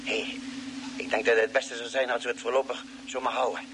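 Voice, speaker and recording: An actor playing a level-headed, commanding doctor aboard a spaceship in a Dutch radio drama. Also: male voice, mid-range voice, little pitch movement, brisk, natural voice. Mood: calm, focused. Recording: noisy old radio drama recording.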